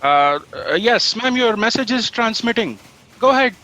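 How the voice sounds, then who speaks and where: An actor playing a nervous but cheeky office underling in a sci-fi satire, hesitant and stammering. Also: male voice, very high-pitched, lively intonation, moderate pace, pushed and bright, muffled recording, exaggerated voice. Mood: nervous, snide. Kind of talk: sci-fi satire audio drama.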